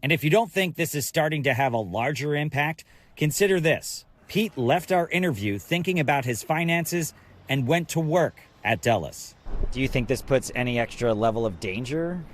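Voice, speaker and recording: A man's voice: mid-range voice, animated, normal speed, slight background noise. An American man working as a TV news reporter, delivering live transportation reports in broadcast style.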